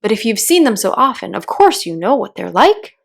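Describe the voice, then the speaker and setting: A woman's voice: medium pitch, big pitch swings, normal speed, gravelly. Woman as a dramatic, sentimental storybook turtle. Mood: earnest, wistful.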